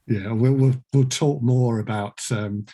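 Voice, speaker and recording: A man hosts a casual interview podcast. His voice sits at a medium pitch with a slightly rough, husky edge. He talks in long, wordy turns with a dry, teasing wit. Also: steady tone, a little slow, dark tone. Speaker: podcast host and producer.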